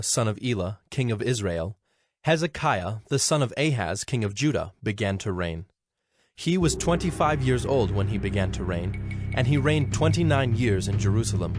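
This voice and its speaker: A man's voice: on the low side, no echo. Male scripture narrator over music.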